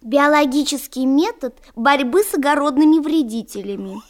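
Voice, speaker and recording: Studious little schoolgirl played by a woman, very high voice, earnest and precise, children's radio drama. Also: moderate pace, lightly acted. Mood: earnest, proud. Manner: earnest, matter-of-fact explaining. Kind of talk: children's radio play acting.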